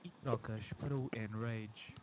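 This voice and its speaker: Man reading aloud over clear background noise. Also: fairly low pitch, steady tone, normal speed, darkish tone. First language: Portuguese. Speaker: reader of a story. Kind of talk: amateur story reading with asides to friends. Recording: clearly noisy amateur recording.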